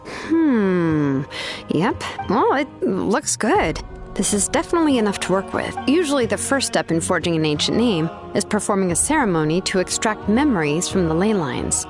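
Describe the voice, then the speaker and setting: A woman's voice: mid-range voice, sing-song, moderate pace, lightly acted. An actress playing a confident, earnest craftswoman in a video game, assured and thinking aloud. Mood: thoughtful, assured. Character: confident, earnest craftswoman who forges names for her tribe. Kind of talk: video game character acting. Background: music.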